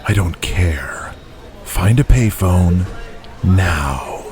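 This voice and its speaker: Casting: narrator as haughty eighties promoter boss, low voice, short-tempered orders, loud music underneath. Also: male voice, expressive, very slow, full character voice. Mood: curt, urgent. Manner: curt, commanding, profane. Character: arrogant, irritable male wrestling promoter, a 1980s yuppie.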